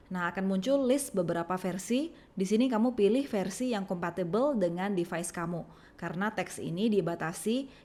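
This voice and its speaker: A woman's voice: lowish voice, warm tone. Indonesian woman narrating a tutorial. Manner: clear step-by-step instructing. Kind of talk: scripted software tutorial narration.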